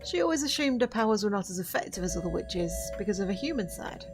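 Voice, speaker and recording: An actress playing a centuries-old witch in an audio drama, gracious and quietly sorrowful, with formal, measured diction. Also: mid-range voice, sing-song. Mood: earnest. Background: music.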